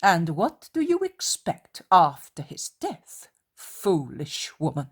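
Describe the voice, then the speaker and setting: A woman's voice: lowish voice, huge pitch swings, slow, slightly rough, pitched below the performer's own voice, heavily acted. A woman reading a mocking Herodian man. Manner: mocking, sneering. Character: mocking male Herodian, contemptuous.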